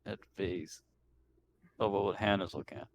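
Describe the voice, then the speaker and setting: A man's voice: fairly low pitch, normal speed. A man playing a tabletop roleplaying game, chatting casually in a blunt, sweary way.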